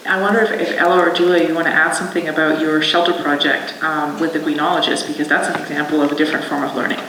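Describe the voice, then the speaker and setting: Brisk woman moderator in an echoey hall. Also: sits low, level tone, a bit bright, slight background noise. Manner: brisk, inviting. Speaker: panel moderator. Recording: echoey hall, mic from a distance.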